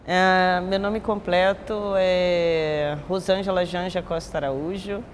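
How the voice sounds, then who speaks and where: Woman, 60s, Bahian accent, lowish voice, reflective, long reverb, faint hiss. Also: fairly even intonation, takes it slowly. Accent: Bahian Portuguese. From Bahia, Brazil. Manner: reflective, often adds tag questions. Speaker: capoeira master. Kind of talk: recorded interview testimony.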